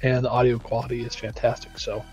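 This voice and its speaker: A man's voice: mid-range voice, completely flat delivery, fast talker, darkish tone, breathy edge. A man giving informal advice as an audio lead on a live stream, stressing points by repeating words.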